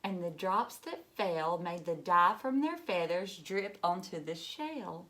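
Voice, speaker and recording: Woman, bright clear-cut tone, slow pace, expressive children's read-aloud, emphatic, chatty asides to little listeners. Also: lowish voice. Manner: animated, emphatic, with gasps and questions to young listeners. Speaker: teacher reading a picture book aloud.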